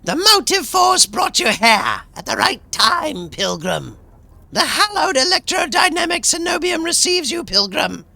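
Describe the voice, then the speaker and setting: A man's voice: very high-pitched, sing-song, a little slow, vocal fry, bright, forceful tone, dry recording, pitched above the performer's own voice, full character voice. A man voicing a solemn, zealous cyborg priest in a video game, speaking in a formal, ceremonious, preachy manner. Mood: solemn welcome. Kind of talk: theatrical video game dialogue.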